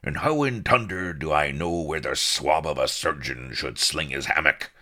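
A man's voice: extremely low pitch, moderate pace, sharp tone. A man voicing a blustering, grizzled old sea captain who bellows oaths. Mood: roaring. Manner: bellowing, oath-laden, gruff.

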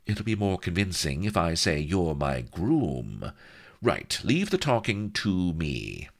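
A man's voice: low voice, big pitch swings, takes it slowly, vocal fry, pitched above the performer's own voice, heavily acted. A man voicing a vain, imperious young noblewoman with a posh upper-class English accent in a comic story. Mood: haughty scorn. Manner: posh, affronted, commanding.

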